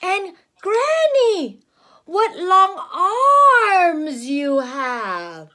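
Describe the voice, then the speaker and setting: A woman's voice: very high voice, sing-song, very slow, pushed and bright, pitched above the performer's own voice, exaggerated voice. A woman voicing a sweet, innocent little granddaughter in a storybook, exclaiming in wonder with stretched-out words. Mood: distressed wonder.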